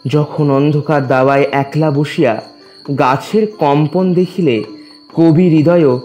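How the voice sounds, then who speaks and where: A man narrating a literary story as a scripted reading, in a formal, measured storytelling manner. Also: fairly high pitch, fairly even intonation, darkish tone, soft background music.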